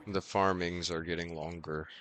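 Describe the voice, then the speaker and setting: A man's voice: deep voice, little pitch movement, moderate pace, fairly bright tone, slight background noise. A man who streams games, chatting casually in short muttered fragments as he plays. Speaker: variety game streamer. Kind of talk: casual livestream gaming chat. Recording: game music behind the voice. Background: video game music.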